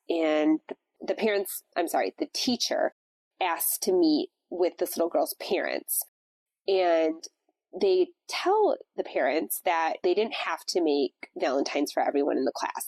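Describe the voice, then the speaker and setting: A woman's voice: fairly low pitch, vocal fry, darkish tone, dry recording. A woman hosting a podcast, congested and stuffed up from a cold, talking in a casual, reflective way about faith. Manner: conversational, reflective. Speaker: podcast host. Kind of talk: casual devotional talk.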